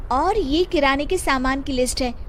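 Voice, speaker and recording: A woman voicing a polite young working daughter-in-law in a cartoon, expressive and pleading. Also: sits high, normal speed, slight background noise. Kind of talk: animated cartoon voice acting.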